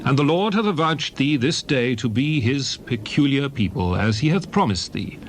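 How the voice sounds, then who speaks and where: A man narrating scripture as a dramatized reading, solemn, measured and declamatory. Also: medium pitch, animated, slight background noise. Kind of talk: dramatized Bible reading in archaic English.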